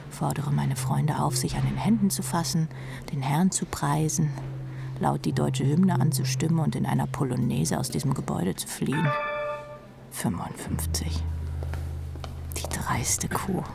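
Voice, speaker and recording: An actress playing a jaded, sardonic rock drummer woman who confides her thoughts in a breathy murmur in a radio drama. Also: sits low, a little slow, heavily acted. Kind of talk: radio drama inner monologue. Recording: music behind the voice.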